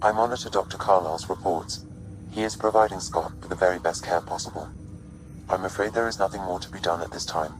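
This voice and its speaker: A man playing a calm, polite artificial intelligence in a video game, with a measured, formal manner. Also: sits low, background noise, close to an everyday voice. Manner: measured, formal, even-tempered. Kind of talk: video game cutscene acting.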